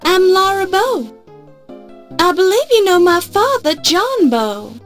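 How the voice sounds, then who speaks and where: A woman's voice: very high voice, sing-song, slow pace, full character voice. An actress playing an eager, polite young woman starting out as a reporter in an adventure game, with music behind her. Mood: hopeful. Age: young adult. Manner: earnest, polite, determined. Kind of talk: scripted adventure game acting. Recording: music under the voice.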